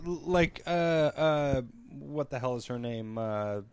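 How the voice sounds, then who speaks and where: Slow, gravelly, jokey male co-host. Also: medium pitch, animated. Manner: jokey, swears freely. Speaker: podcast co-host. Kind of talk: casual chat about movies.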